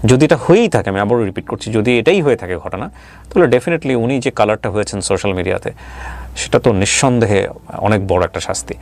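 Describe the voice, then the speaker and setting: A man's voice: on the low side, a little mellow. A man with a Bangladeshi accent hosting an interview show, speaking emphatically and probingly and repeating phrases for stress. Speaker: talk show host. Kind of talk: interview with opinionated commentary.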